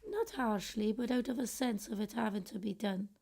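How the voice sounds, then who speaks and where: A woman's voice: a little high, sing-song, moderate pace, vocal fry, mellow, husky, exaggerated voice. A woman acting a wistful, regretful middle-aged British woman in a confiding radio play monologue. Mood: wistful, nostalgic. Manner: reflective, confiding storytelling. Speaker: actor in a student radio play. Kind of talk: first-person radio drama monologue.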